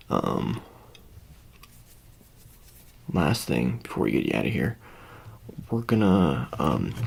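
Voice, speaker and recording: Creaky soft-spoken ASMR roleplayer with lively pitch. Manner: hesitant, rambling, casual reassuring asides. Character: offhand handler preparing an alien for public exposure.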